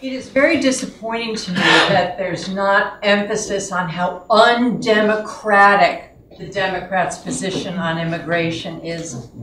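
An older woman in the audience, speaking in an indignant tone. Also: lowish voice. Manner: indignant, gesturing. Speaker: audience member. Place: university lecture room, seated in audience. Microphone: far room mic. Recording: echoey room with noise.

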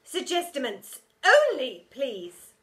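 A woman's voice: high-pitched, huge pitch swings, slow pace, voice pushed up, heavily acted. A woman voicing a vain, pompous female art teacher who drags out her words and mangles them with made-up endings. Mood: grandiose. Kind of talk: storybook character voice.

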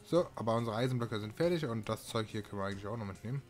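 A man's voice: on the low side, expressive, very fast. A man giving casual let's-play commentary as a gaming video host, thinking aloud while he plays. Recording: music behind the voice.

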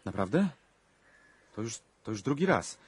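An actor playing a twenty-year-old tennis coach in a radio drama, earnest, terse and intense, with a volatile streak. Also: male voice, lowish voice, sing-song, moderate pace, slightly rough. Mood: earnest, uneasy. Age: 20s.

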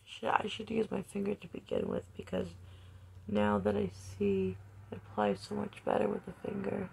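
A young woman vlogging a makeup tutorial at home, talking casually and softly, often near a whisper, with a slightly raspy voice, recorded close on a phone in a bedroom. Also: medium pitch, flat delivery, normal speed, low-quality recording. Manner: soft-spoken, often near a whisper while working. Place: bedroom, indoors. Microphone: phone camera close up.

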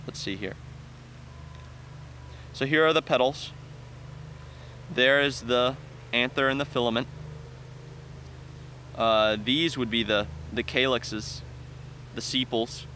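A young man in his twenties teaches out in an open farm field, speaking into a clip-on mic. He talks at a middle pitch, eager and hands-on as he walks through each step. A faint hum of passing cars sits behind him. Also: sharp tone. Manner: enthusiastic, explains step by step while handling plants. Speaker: farm educator. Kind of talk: outdoor teaching walk-through. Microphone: lapel mic.

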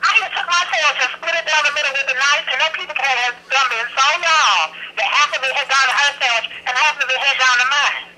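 Indignant, confrontational big lady played by a man, bright forceful voice, for comic prank phone calls. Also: extremely high pitch, a little hiss or hum, full character voice, rough recording. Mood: insistent complaining. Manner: brash, confrontational, drawn-out insistent phrasing. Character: loud, sassy, indignant big woman complaining to shops. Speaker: prank caller. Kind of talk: improvised comic prank call. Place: living room at home.

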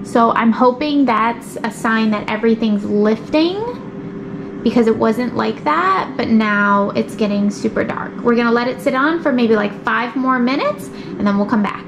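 A woman, chatting casually as a cleaning vlogger in her home kitchen, with filler words and an animated manner. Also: a little high, normal speed. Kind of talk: casual vlog chat. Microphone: camera across the room. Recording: echoey kitchen, far from mic, background noise.

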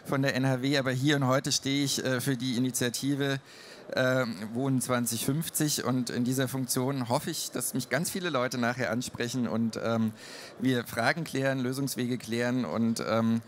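A man's voice: medium pitch, steady tone, normal speed, slight background noise. A man in his forties, spokesman for an initiative, inviting visitors to talk, with frequent fillers, on a trade fair stage with a handheld mic. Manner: friendly, inviting, many fillers. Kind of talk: panel discussion on stage.

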